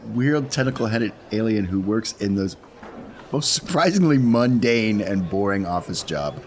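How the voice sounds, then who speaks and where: Male player, low-pitched, dry and self-deprecating, informal table talk, faint background noise. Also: expressive, normal speed. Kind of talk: casual chat during a role-playing game.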